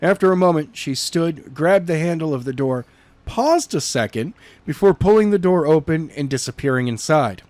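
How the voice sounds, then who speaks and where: A man's voice: a little high, animated, darkish tone, dry recording. A man reading a chapter of a novel aloud in an unpolished way, often stumbling and repeating words. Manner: halting, with frequent repeats and restarts. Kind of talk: unpolished read-aloud narration.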